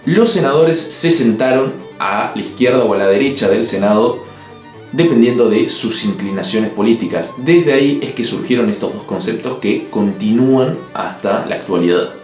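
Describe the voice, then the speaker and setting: Un hombre de Uruguay con acento del Río de la Plata, que narra un ensayo en video con opiniones firmes y toques de humor seco. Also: male voice, medium pitch, expressive, moderate pace. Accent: Río de la Plata Spanish. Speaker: video essay host. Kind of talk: scripted narration. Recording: echoey room with soft music.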